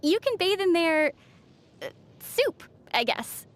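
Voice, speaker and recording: A woman playing a young female adventurer in a video game, gentle and playfully wry in manner. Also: very high voice, big pitch swings, takes it slowly, heavily acted. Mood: calm, thoughtful. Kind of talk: game voice acting. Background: faint background music.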